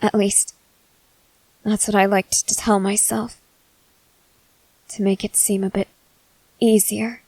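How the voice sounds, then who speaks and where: A woman playing a guarded young female soldier, blunt but softening, in halting roleplay ASMR. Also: medium pitch, level tone, breathy edge, no room sound, low-quality recording. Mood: flustered, disbelieving. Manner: halting, hesitant, earnest delivery.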